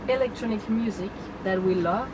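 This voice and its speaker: A woman hosting an informal interview inside a van, speaking hesitantly with pauses mid-sentence. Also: medium pitch. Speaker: interviewer, host. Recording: clear vehicle and ventilation hum.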